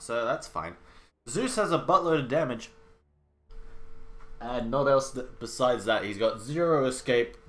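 A man's voice: mid-range voice, a bit bright. A young man with a cold and a nasal, blocked-nose voice, giving casual, sarcastic game commentary at home. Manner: sarcastic, swears casually, trails off and restarts. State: sick with a cold. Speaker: gaming video host. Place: home room with wooden floors.

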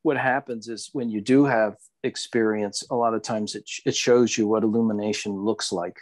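Lower-pitched man in reflective conversation. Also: fairly even intonation, moderate pace. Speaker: podcast co-host. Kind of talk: reflective casual discussion of spirituality.

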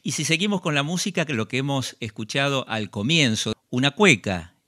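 Brief: man from Argentina, radio host, bright tone, keeps the guest talking with short affirmations. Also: medium pitch, expressive, fairly quick. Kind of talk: radio interview.

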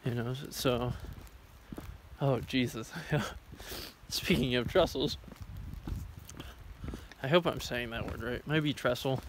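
A man's voice: medium pitch, slow, long pauses. The guy filming himself on a forest trail, rambling casually into his phone at arm's length as he hikes, outdoor noise around him. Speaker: hiking vlogger. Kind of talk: casual rambling vlog commentary while walking. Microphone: phone camera at arm's length. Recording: noisy outdoor handheld recording.

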